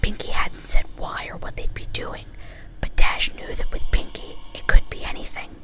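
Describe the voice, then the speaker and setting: A woman reading a story aloud in an ASMR style. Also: normal speed. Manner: casually swears at own slips. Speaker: reader.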